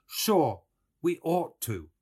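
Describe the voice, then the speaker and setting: Man putting on a humble old Irish milkwoman, pitched high. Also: sing-song, a little mellow, slightly rough, heavily acted. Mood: earnest, deferential. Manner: deferential, halting.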